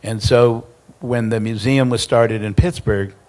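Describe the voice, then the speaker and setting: A man speaking on a conference panel, halting and repeating words as he explains. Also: medium pitch, steady tone, a little mellow, low-quality recording. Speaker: foundation president. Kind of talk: panel discussion answer.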